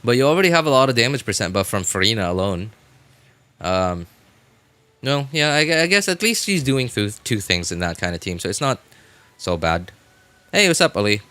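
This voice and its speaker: A man's voice: on the low side, sing-song, moderate pace, bright, forceful tone, no echo. A man livestreaming a video game, chatting casually with viewers in a laid-back, informal way and muttering asides to himself. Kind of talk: casual gaming stream commentary, chatting with viewers.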